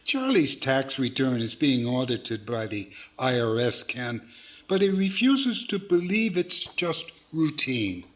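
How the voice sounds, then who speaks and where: A man's voice: mid-range voice, sing-song, slow pace, a bit bright. A man playing a patient, reasonable ventriloquist, the mild straight man in a 1940s-style radio comedy, slightly hesitant and reassuring. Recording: slightly dull lo-fi sound.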